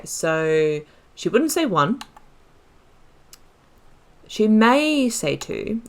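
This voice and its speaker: A woman giving casual let's play commentary, chattily thinking aloud and reading dialogue choices. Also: low voice. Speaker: gaming video host.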